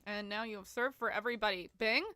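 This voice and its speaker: A woman's voice: mid-range voice, steady tone, quick pace, sharp tone. A young woman with a stuffy, congested voice from a cold, chatting casually and giggling with many fillers as she streams a game. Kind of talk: casual live-stream gameplay chat.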